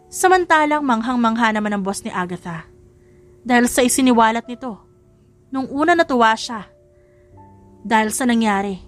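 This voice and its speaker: A woman reading a scripted romance story as a dramatic audiobook narrator. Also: fairly high pitch.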